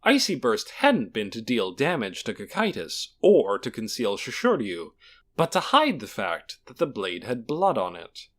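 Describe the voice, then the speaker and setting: A man's voice: medium pitch, huge pitch swings, measured pace, fairly bright tone. A man narrating a fantasy audiobook in a dramatic, scripted storytelling style.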